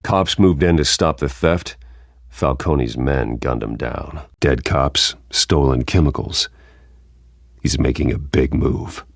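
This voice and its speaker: Very deep, husky, brooding vigilante. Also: male voice, dry recording, clean studio sound, full character voice. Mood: analytical. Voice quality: husky low growl. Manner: terse, brooding, deliberate. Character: grim masked vigilante detective, menacing and controlled. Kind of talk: video game voice acting, terse investigative lines.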